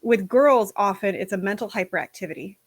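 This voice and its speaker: A woman talking as a guest in a casual stream chat, frank and animated, with casual swearing. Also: mid-range voice, a little fast, no echo.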